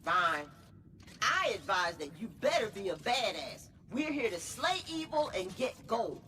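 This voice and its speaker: Here is a sarcastic mercenary for a video game. She is blunt and impatient, with a bright, animated voice. Faint noise sits behind her. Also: medium pitch, measured pace.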